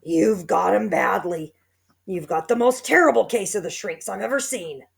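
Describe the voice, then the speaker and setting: A woman's voice: mid-range voice, normal speed, vocal fry. A woman voicing a nasty old man who sneers and taunts his wife. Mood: mock-solemn taunting. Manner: sneering, teasing.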